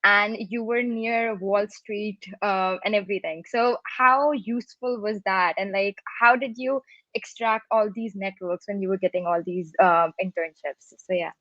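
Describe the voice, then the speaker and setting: A woman's voice: mid-range voice, fairly even intonation, unhurried, a bit bright, no room sound. A young woman in her twenties hosting a panel interview on a video call, friendly, with some "um" and "like". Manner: friendly, scripted introductions, uses "um" and "like". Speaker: host, panel moderator. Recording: dry muffled video call audio.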